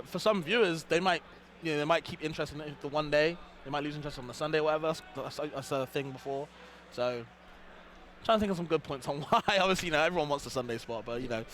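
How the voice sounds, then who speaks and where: A man's voice: on the high side, a bit bright, slight background noise. A man commentating an esports tournament, laid-back and slangy, laughing often between remarks. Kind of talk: casual commentary chat between matches.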